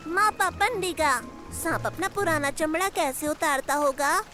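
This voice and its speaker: A performer voicing an eager, brave and curious young animal cub in a children's cartoon, earnest and excitable. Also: high voice, a little slow, soft background music, heavily acted.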